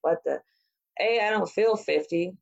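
A woman's voice: lowish voice, measured pace, gravelly, darkish tone. Chatty fifty-year-old woman, lo-fi sound. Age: 50s. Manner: chatty, self-deprecating, laughs often. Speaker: guest, former ship captain. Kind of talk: casual interview chat.

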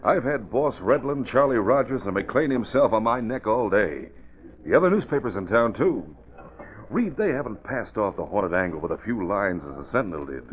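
Animated, fretful police commissioner. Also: male voice, on the low side, normal speed. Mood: worried, exasperated. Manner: fretful, emphatic. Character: worried, harried male police commissioner. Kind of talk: old-time radio drama acting. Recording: tinny band-limited old radio broadcast.

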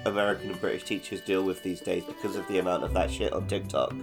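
A man's voice: low-pitched, little pitch movement, fast talker, music in the background. A man co-hosting a podcast in a casual, chatty style. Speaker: podcast co-host. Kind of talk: casual chat.

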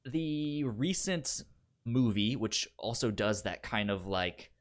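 A man's voice: on the low side, expressive, slow. A man chatting casually as a podcast host giving recommendations, stuttering and repeating syllables and words as he goes. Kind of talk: casual film chat.

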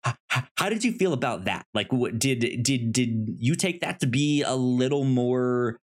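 A man co-hosting a casual film discussion, speaking haltingly and often stammering over the starts of words. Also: medium pitch, lively intonation. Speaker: podcast co-host.

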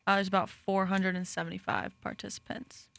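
A woman's voice: medium pitch, little pitch movement, fairly quick, gravelly, fairly bright tone, no echo. A woman co-hosting a radio sports show, reading event listings, giggling often and joking about herself. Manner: giggly, self-deprecating. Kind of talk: casual radio chat.

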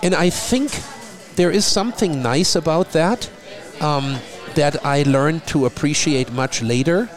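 A middle-aged man from Germany with a German accent, talking in a relaxed conversation and often repeating a word as he starts a thought. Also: on the high side, a little slow, faint music behind. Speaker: guest. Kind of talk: casual conversation.

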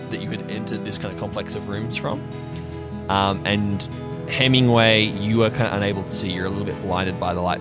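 Man game master narrating over faint music. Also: lowish voice. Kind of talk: improvised tabletop narration.

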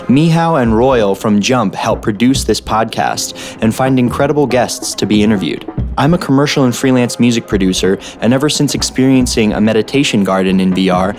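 A man hosting a podcast interview, speaking in a relaxed way with many fillers. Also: medium pitch, normal speed, soft background music. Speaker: podcast host.

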